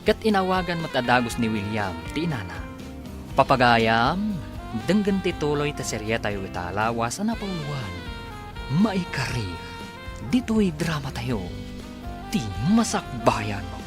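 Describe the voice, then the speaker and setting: A man serves as narrator of an Ilocano radio drama. He reads scripted narration with lively, varied intonation. Music plays clearly behind him. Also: mid-range voice, measured pace.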